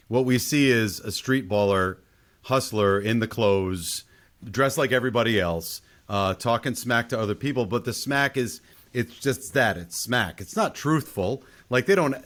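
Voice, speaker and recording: A man's voice: lowish voice, fairly bright tone. A man talking casually as a podcast host, discussing a film in conversation.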